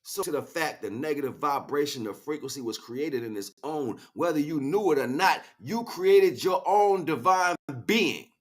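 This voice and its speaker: A man delivering an impassioned, improvised spiritual monologue, preachy and grandiose, swinging between hushed asides and loud, emphatic declarations. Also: on the high side, expressive, moderate pace, few pauses. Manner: preachy, grandiose, repeats phrases for weight. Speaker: spiritual speaker, streamer.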